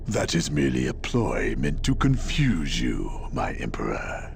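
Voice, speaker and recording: An actor playing a sly, menacing psychic villain who advises an emperor in formal, theatrical, insinuating tones in a video game. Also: male voice, wildly swooping pitch, a little slow, over music, heavily acted. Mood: sly, urging. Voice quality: very deep, gravelly. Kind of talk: video game cutscene acting.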